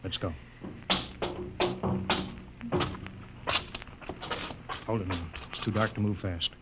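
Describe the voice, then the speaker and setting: An actor playing a cautious, authoritative rocket captain in an old radio drama, giving clipped orders. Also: male voice, deep voice, fairly even intonation, fast, heavy background noise, natural voice. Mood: wary, hushed.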